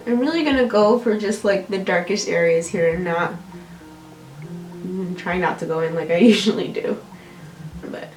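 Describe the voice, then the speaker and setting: A young American woman with a congested, nasal voice, chatting casually and self-deprecatingly through a makeup tutorial as a beauty vlogger. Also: medium pitch. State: congested from allergies. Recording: roomy echo, distant mic, faint music. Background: music.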